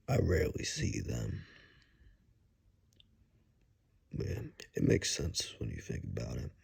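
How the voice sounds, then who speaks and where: A man playing a gruff, wry forest ranger and monster hunter in an ASMR roleplay, speaking in a hushed, halting way with dry humor. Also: heavily acted. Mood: wry, relaxed. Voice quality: very deep, gravelly, husky. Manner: slow, halting, hushed, dryly joking. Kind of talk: ASMR roleplay, one-sided conversation.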